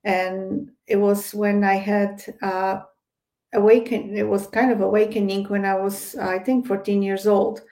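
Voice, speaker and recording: A woman's voice: mid-range voice, level tone, unhurried, dark tone. A woman with a Polish accent, a guest psychic medium, speaking in a hesitant interview style full of fillers and restarts. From Poland. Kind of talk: casual interview chat.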